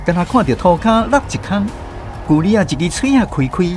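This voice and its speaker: A man's voice: high voice, big pitch swings, brisk. A man narrating a village story in a radio drama, looking back on his childhood. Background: music.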